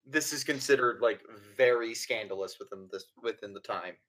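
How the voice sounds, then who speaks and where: A man runs a tabletop game as its keeper, walking the players through background in an explanatory tone. He often stops on fillers, and his voice sits at a middle pitch. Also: normal speed, a bit bright. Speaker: game master. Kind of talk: narrating a tabletop horror game.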